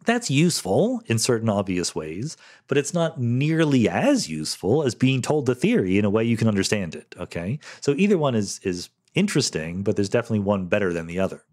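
A man's voice: fairly low pitch, big pitch swings, brisk, a little mellow. A middle-aged man hosting a solo podcast, reading listener questions and answering them in a thoughtful, conversational way with fillers and restarts.

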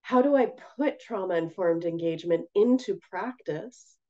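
A woman with a raspy, hoarse voice from a cold, giving a gentle, measured webinar presentation from Canada over a video call. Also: on the low side, lively intonation, dull audio. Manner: gentle, measured, with frequent ums.

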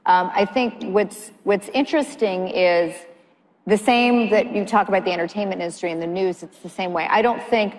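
A woman in her forties, a news anchor, moderating a panel on stage in a chatty, animated way with a lapel mic. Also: mid-range voice, normal speed. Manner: animated, gesturing, chatty with fillers. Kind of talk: conversational panel talk. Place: large hall stage. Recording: long hall reverb, faint room noise.

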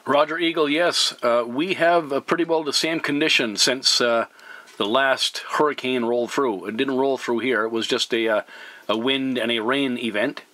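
A man with a bright, forceful voice at middle pitch works a CB radio contact. He is courteous and deliberate, speaking in clear procedural phrases. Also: steady tone. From Canada. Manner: courteous, deliberate, spells words phonetically. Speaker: amateur CB radio operator.

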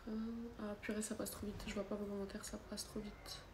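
A woman's voice: mid-range voice, monotone, brisk. Young woman with a cold, livestreaming. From France. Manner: chatty, reads and answers comments aloud. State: has a cold. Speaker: livestreamer answering viewer comments. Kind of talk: casual live chat. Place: living room, seated on a sofa, indoors. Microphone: phone camera at arm's length. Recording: echoey room with faint background noise.

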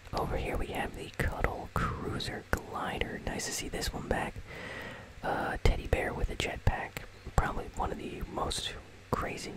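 A gaming channel host speaking entirely in a soft, breathy, unvoiced whisper, giving casual, rambling ASMR commentary. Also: poor audio. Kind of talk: ASMR whispered commentary on a game item shop.

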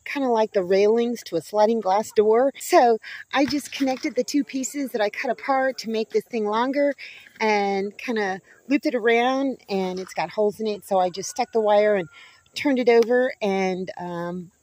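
A woman's voice: on the high side, normal speed, darkish tone, slight background noise, poor audio. A middle-aged woman chatting casually as she films her homestead outdoors, folksy and talking to her chickens now and then. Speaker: homesteading vlogger. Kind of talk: casual vlog chat. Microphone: handheld camera or phone at arm's length.

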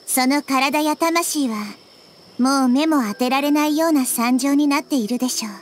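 A woman's voice: high-pitched, steady tone, moderate pace, a little hiss or hum, close to an everyday voice. A woman voicing a small, childlike nature goddess in a game, wise, thoughtful and articulate. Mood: grave, worried. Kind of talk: scripted game dialogue.

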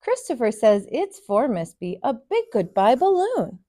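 An American woman in her thirties, a teacher reading a picture book aloud to children in an expressive, sing-song way. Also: a little high, unhurried. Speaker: kindergarten teacher, storyteller. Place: small furnished room, indoors.

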